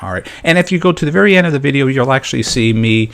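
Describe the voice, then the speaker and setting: A man's voice: mid-range voice, expressive, very fast, creaky. A man hosting a casual step-by-step drawing tutorial, talking informally and encouragingly as he thinks aloud while he works. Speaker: drawing instructor and host.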